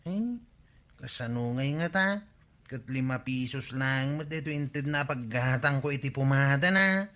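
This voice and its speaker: A middle-pitched voice plays a naive young nephew running errands. He is cheeky and wheedling, forever angling for a bargain in the style of comedy radio drama. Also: measured pace, sharp tone.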